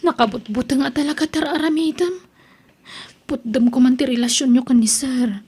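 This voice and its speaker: A woman's voice: high-pitched, normal speed, a little mellow, husky. A woman with a Filipino accent playing an anxious, disapproving young friend in an audio drama, fretful in manner. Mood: disapproving, fearful.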